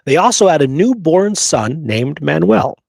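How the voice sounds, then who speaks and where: A man's voice: on the high side, sing-song, a little slow, gravelly, a little mellow, no room sound. A man hosting a history show, presenting research emphatically, partly from prepared notes, often checking in with listeners. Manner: emphatic, checks in with rhetorical right and okay.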